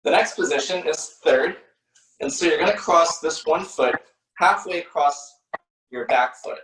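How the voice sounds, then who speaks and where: A man's voice: fairly high pitch, unhurried, fairly bright tone. A man, a dancer, explaining movements step by step, recorded from a distance in a roomy space. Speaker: ballet dancer demonstrating positions. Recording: roomy, distant mic with faint noise.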